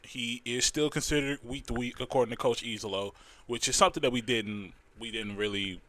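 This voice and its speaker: A young man hosting a casual sports news show, his voice a bit hoarse, rambling with fillers and restarts. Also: mid-range voice, steady tone, brisk, pushed and bright, dry recording. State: losing his voice, slightly hoarse. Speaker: host.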